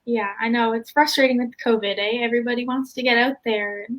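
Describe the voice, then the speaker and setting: A woman's voice: a little high, fairly even intonation, a little fast, fairly bright tone. A teenage Canadian girl, a student, interviewing a guest in a friendly, agreeable way. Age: teens. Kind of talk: casual interview.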